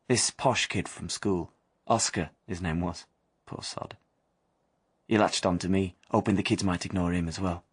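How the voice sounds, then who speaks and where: An actor playing a wry, confiding working-class young drifter, narrating a radio drama in colloquial speech. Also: male voice, low-pitched, husky. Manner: colloquial, non-standard grammar.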